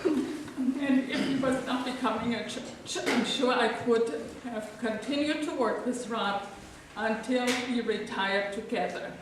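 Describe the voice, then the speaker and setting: A woman's voice: on the high side, unhurried, noisy background. A woman with a German accent reading a prepared tribute as a legal assistant at a hearing, a little nervous and earnest. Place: large echoey hearing room. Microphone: recorded from far by a camcorder. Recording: echoey room, distant camcorder mic.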